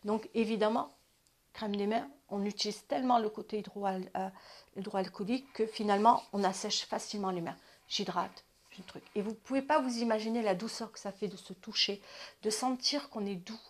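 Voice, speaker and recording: A woman's voice: medium pitch, normal speed. Chatty woman in her fifties giving advice. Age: 50s. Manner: chatty, repeats and restarts, drops to asides. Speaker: advice channel host. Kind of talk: casual spoken advice.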